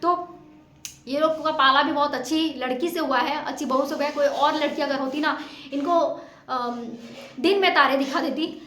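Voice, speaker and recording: A woman's voice: sits high, normal speed, a bit bright, slight background noise. A woman talks straight to her viewers. Her commentary is informal and opinionated, pressed home with emphatic, indignant rhetorical questions. The sound is echoey, like an untreated room. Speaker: vlogger commenting on a family dispute.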